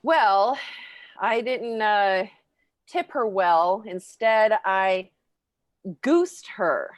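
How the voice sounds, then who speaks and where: Woman as a giggly, very animated celebrity panelist. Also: medium pitch, drawn-out words. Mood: sheepish, cheeky. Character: giggly, cheeky female celebrity game show panelist. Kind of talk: improvised comedy banter.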